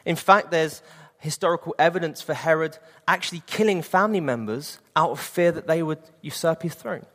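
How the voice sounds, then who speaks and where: A man's voice: a little high, fairly quick, few pauses, a bit bright, big-room sound. A man in his late twenties preaching a sermon and sharing an earnest personal testimony. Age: 20s.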